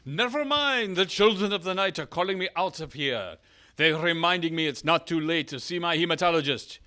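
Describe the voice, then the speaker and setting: A melodramatic, indignant vampire for a comic radio play. The delivery is declamatory and swoops widely, in a high, bright voice. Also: male voice, animated, normal speed. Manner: theatrical, declamatory. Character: indignant, melodramatic male vampire patient, Dracula type.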